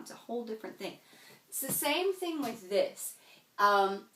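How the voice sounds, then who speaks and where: Unhurried woman teaching in an echoey room. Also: fairly high pitch, fairly bright tone, far from the mic, slight background noise. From United States. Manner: patient, explanatory, checks understanding with "okay?". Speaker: French teacher. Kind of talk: classroom grammar lesson.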